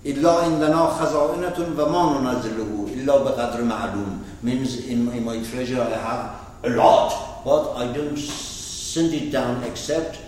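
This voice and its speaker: A man's voice: medium pitch, steady tone, very fast, few pauses, a little mellow, slight background noise. Man, Arabic accent, teacher, rambling, very echoey, distant mic. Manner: digressive, asks the room questions. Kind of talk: explaining terms to a class. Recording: roomy, far from the mic.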